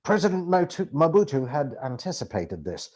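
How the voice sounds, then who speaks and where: A man reading a memoir aloud as an amateur audiobook narrator, with theatrical, expressive storytelling. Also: a little high, normal speed. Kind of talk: dramatic literary narration of a memoir.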